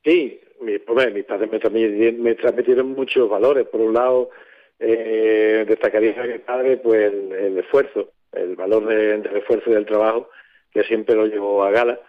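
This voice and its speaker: A man, speaking reflectively as an interview guest, halting as he searches for words. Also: on the low side, fairly even intonation, narrow, tinny audio. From southern Spain. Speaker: poet and songwriter guest.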